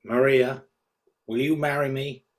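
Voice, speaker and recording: A man's voice: mid-range voice, steady tone, a little slow, a loud crowd, close to an everyday voice. A man playing a cocky, earnest young man in love in a script table read.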